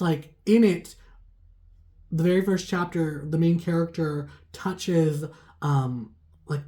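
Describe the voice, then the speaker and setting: A young woman with a hoarse, raspy voice, still getting over a cold. Her voice sits low and mellow. She talks through a tarot reading in a loose, chatty way, drifting from thought to thought. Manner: chatty, rambling, heavy use of "like". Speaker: tarot reader. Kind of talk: casual channeled tarot reading.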